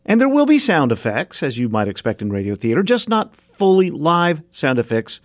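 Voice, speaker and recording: A man's voice: fairly high pitch, sing-song, normal speed, dry recording, clean studio sound. A man hosting a radio show, delivering scripted narration. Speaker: radio host and reporter.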